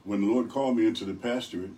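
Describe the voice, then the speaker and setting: An older man from Rochester, New York with a deep voice, speaking as an evangelist guest in a radio studio, telling stories with good humor. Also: rapid-fire, dark tone. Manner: good-humored, rambling storyteller. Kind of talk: radio interview. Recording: distant from the mic.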